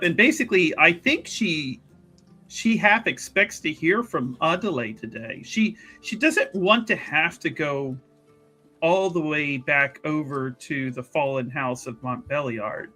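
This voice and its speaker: A man playing a tabletop roleplaying game, improvising his character's actions in a halting, wordy way. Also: a little high, lively intonation, bright, forceful tone, soft background music.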